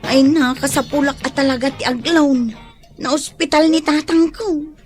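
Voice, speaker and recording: A woman's voice: high-pitched, fairly even intonation, moderate pace, faint music behind, natural voice. A woman playing a pushy young coworker who insists on getting a loan, in a radio drama. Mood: insistent. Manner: pleading, insistent.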